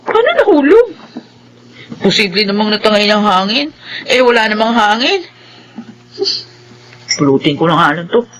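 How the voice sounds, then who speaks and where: A woman playing a skeptical, excitable, easily frightened woman in a radio drama, full of questions and exclamations. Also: mid-range voice, moderate pace, long pauses, a little hiss or hum, rough recording. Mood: startled. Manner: questioning, exclaiming, stammering when scared.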